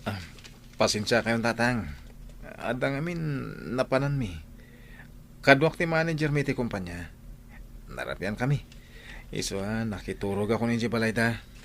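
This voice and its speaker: A man's voice: mid-range voice, normal speed, long pauses, a little hiss or hum, natural voice. A man playing a guilty, apologetic married man in an audio drama, speaking hesitantly. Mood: evasive.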